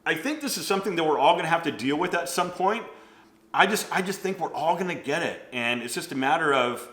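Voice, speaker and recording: Stuffy-nosed man in bright, echoey chat. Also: on the high side, lively intonation, fairly quick. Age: 40s. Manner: rambling, self-interrupting, lots of "like". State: stuffy nose from a cold. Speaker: fashion channel host. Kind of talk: casual vlog chat.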